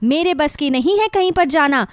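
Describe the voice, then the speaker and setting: Woman voicing a blunt, selfish young daughter, high voice. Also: expressive, normal speed, no echo, clean studio sound. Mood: irritated. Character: selfish, lazy, blunt college-age daughter. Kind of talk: acted dialogue in a moral story.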